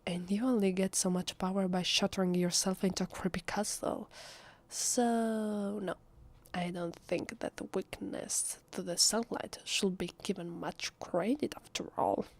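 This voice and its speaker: A woman with an Italian accent playing an evasive, flustered female vampire posing as human in an ASMR roleplay, speaking softly and hesitantly in a husky half-whisper. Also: mid-range voice, steady tone. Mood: earnest, explaining. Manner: hesitant, slow, drawn-out.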